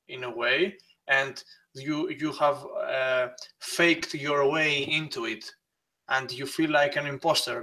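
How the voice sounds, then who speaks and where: A man's voice: mid-range voice, bright, forceful tone, poor audio. A man talking as a guest in a casual conversation, explaining ideas at length in a thoughtful way. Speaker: guest, blogger.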